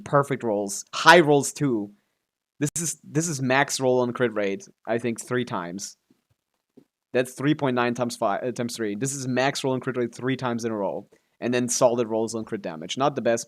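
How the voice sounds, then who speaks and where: Man, game streamer, casual chatty commentary, mid-pitched voice, swears a lot, reacting out loud. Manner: sweary, reacting aloud, talking to himself and chat. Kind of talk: casual livestream gaming commentary.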